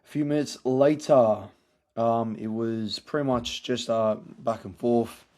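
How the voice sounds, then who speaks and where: A man hosting a casual sports review podcast, his voice hoarse from yelling, halting with many fillers and restarts. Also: medium pitch, fairly even intonation. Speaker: podcast host.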